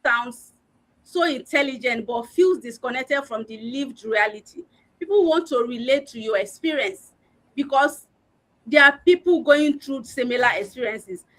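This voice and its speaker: A woman's voice: high voice, moderate pace, low-quality recording. A woman giving an earnest, personal talk as a panelist on a live online discussion, with frequent filler words. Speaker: learning and development head.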